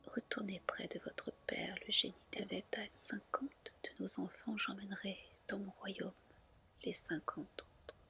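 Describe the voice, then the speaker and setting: A woman's voice: medium pitch, fairly even intonation, unhurried, gravelly, bright, forceful tone, breathy edge, full character voice. A woman voicing a noble male dragon lord of the sea in a whispered storybook reading, formal and tender. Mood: solemn, resigned. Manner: formal, solemn, tender address. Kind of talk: whispered ASMR storybook dialogue.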